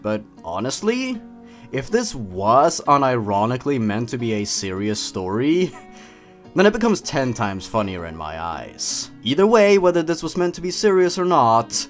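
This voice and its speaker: A man reading stories aloud and commenting on them in a sarcastic, mock-serious, theatrical way. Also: mid-range voice. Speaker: YouTube creepypasta reader and commentator. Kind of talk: scripted commentary and dramatic story reading.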